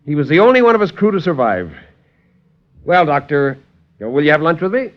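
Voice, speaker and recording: Radio drama role for a male actor: brisk army medic, raised pitch, hearty and genial, dull vintage sound. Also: sing-song. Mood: sympathetic. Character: brisk, genial male military doctor, sympathetic colleague. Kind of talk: 1950s radio drama acting. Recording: muffled 1950s radio recording.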